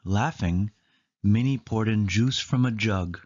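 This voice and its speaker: A man's voice: lowish voice, takes it slowly, darkish tone, muffled recording. A man narrating a children's picture book aloud, leaning emphatically on key words. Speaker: storybook reader.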